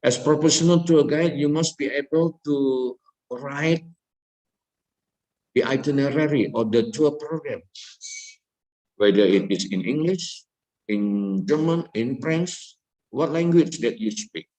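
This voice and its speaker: Slow-speaking Indonesian-accented male lecturer. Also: mid-range voice, a little mellow, low-quality recording. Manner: slow deliberate speech with frequent "okay?" checks; repetitive, emphatic. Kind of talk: classroom lecture.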